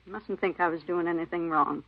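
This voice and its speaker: A woman's voice: fairly low pitch, fairly even intonation, a little fast. An actress playing an aggrieved, defiant cleaning woman with plaintive, insistent, clipped folksy phrasing in a 1950s radio drama. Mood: indignant, defiant. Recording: muffled vintage radio recording.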